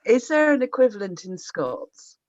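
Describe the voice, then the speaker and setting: A woman's voice: on the high side, sing-song, normal speed, dry recording. Surrey-accented woman asking a bashful question. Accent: Surrey English. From England. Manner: warm, bashful, laughing. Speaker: audience member asking a question. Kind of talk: casual question on a video call. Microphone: video call. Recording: dry, dull video call audio.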